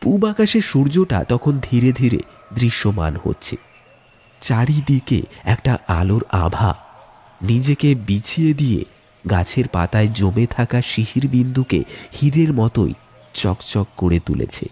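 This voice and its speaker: A man narrating a scripted ghost story in a suspenseful, deliberate way with dramatic pauses. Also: mid-range voice, animated, a little mellow, slightly rough.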